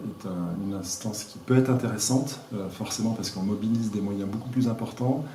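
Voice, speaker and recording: A man with a fairly low voice gives informal interview answers as a local mayor. He speaks hesitantly, often restarting as he looks for the right word. The recording is very roomy, picked up from a distance, with faint noise. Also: dark tone. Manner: hesitant, halting, searching for words. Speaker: village mayor being interviewed. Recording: echoey room, distant mic, faint noise.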